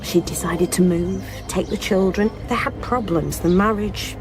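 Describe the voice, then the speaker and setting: An actress playing an anxious, grieving best friend of a missing woman, halting and pleading in a naturalistic radio drama style. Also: fairly low pitch, over music. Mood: earnest, grieving.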